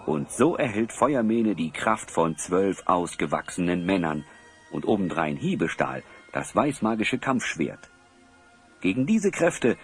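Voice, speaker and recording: A man playing the storyteller of a fantasy audio drama, narrating dramatically from a script with a husky voice. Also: low-pitched, exaggerated voice.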